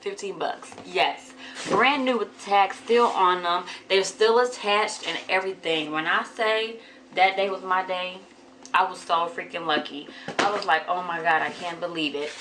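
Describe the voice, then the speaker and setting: A woman with a hoarse voice from a cold, chatting casually to viewers in a haul vlog. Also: moderate pace, a bit bright, a little hiss or hum, low-quality recording. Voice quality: deeper than usual. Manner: chatty, addresses viewers as y'all. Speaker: vlogger.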